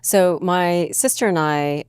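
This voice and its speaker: A woman's voice: lowish voice, takes it slowly, darkish tone, clear, resonant tone, dry recording, clean studio sound. A woman hosting an interview podcast, attentive and encouraging, chiming in with short replies. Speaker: podcast host. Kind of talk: conversational interview.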